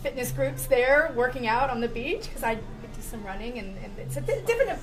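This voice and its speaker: A woman's voice: a little high, animated, brisk, rough recording. A woman co-hosting a weekend radio show, bubbly and laughing often as she chats. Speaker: co-host. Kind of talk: casual radio chat.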